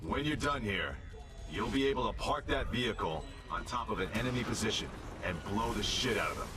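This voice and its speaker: An actor playing a tough, blunt, profane Marine instructor briefing recruits in a video game. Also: male voice, lowish voice, expressive, fairly quick, music in the background, lightly acted. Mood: commanding, gruff. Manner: commanding, blunt. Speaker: military instructor. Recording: distant voice under loud vehicle noise.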